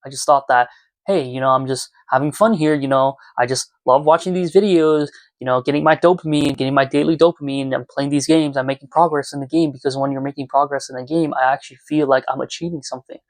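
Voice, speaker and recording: A man's voice: mid-range voice, steady tone, fast talker, no echo. A twenty-year-old man talking earnestly and reflectively to viewers in a casual, confessional vlog recorded in his room at home. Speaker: YouTuber. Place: small room at home, indoors.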